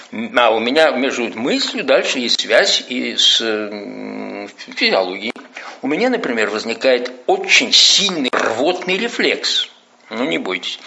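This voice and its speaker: A man, a priest, speaking in an emphatic, lecturing way, leaning hard on slow, stressed words. He is heard over a phone line into a radio studio. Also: medium pitch, bright, forceful tone. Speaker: priest guest. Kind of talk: sermon-like commentary.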